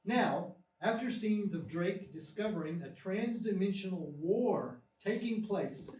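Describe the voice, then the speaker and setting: A man's voice: sits high, darkish tone. A man reading scripted screenplay narration at a live stage table read. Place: small live theatre stage. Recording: distant, echoey live theatre recording with noise.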